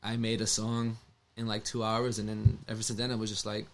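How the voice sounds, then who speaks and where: A man's voice: fairly low pitch, completely flat delivery, fairly quick, slight background noise. A man in his twenties with a stutter, speaking hesitantly with many fillers and restarts. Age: 20s. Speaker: musician, interview guest.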